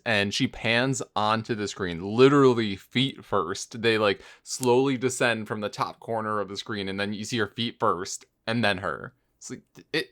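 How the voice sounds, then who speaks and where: Lowish-voiced man in loose casual chat. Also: fairly bright tone. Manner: informal, jokey. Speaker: podcast co-host. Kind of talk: casual chat about a video game.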